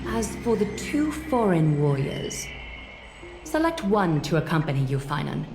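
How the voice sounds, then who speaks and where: A solemn demigod woman leader from a video game, low in pitch. She is formal and coolly authoritative, with echo around the voice. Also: sing-song. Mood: solemn, commanding. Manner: formal, measured, commanding. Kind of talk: English video game voice acting. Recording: echoey, music underneath. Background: game music.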